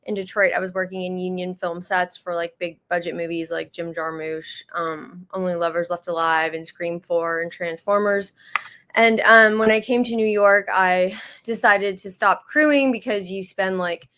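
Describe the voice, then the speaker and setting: A woman's voice: lowish voice, steady tone, moderate pace, a bit bright. A woman from the United States, a magazine founder and publisher, answering interview questions in a casual, hesitant way full of fillers and restarts; she calls herself a little shy. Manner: self-described shy.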